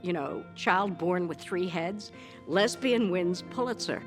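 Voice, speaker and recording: Low-voiced woman telling an anecdote over music. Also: slow pace. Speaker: playwright. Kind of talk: anecdote in a documentary interview.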